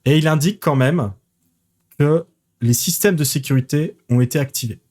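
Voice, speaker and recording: A man narrating a tabletop role-playing game as game master, pausing often with fillers. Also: on the high side, darkish tone. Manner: hesitant, many fillers.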